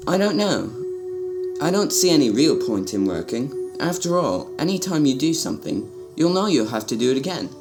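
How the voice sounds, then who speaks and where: A man acts a naive young prince with a fairly high voice that swings up and down. He is spoiled yet polite, questioning things in slightly formal words. It is radio drama with some music behind. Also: very animated, normal speed. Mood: puzzled, doubtful. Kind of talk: amateur radio drama acting.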